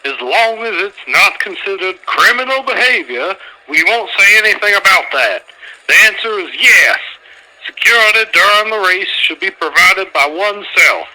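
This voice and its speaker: A man's voice: high-pitched, lively intonation, moderate pace, pushed and bright, heavily acted, rough recording. A man voicing a boastful, flamboyant race promoter in an anime fan dub, with a grandiose, emphatic showman's delivery. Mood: firm, assured.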